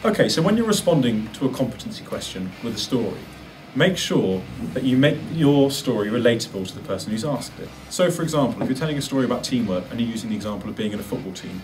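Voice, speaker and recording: A man's voice: medium pitch, expressive, quick pace, hardly any pauses, darkish tone, recorded from a distance, slight background noise, rough recording. A man giving an instructional presentation, as a presenter of practical advice. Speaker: presenter giving interview tips.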